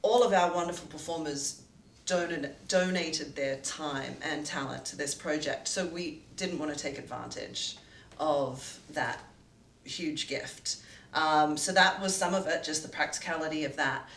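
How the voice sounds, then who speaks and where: Low-voiced woman in an echoey room. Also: fairly bright tone, a little hiss or hum. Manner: measured, orderly, with frequent "um"s. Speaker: co-producer. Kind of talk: casual behind-the-scenes chat. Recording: echoey room, distant mic.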